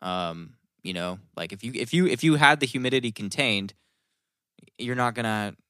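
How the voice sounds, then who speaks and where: A man's voice: mid-range voice, animated, normal speed, bright, forceful tone, no echo, studio quality. A man in casual podcast chat, explaining things in a rambling, informal way with some swearing.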